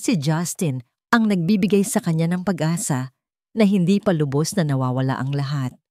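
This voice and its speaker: A woman's voice: sits low, normal speed, warm tone, dry recording, clean studio sound. A woman reading a scripted romance story as a dramatic narrator.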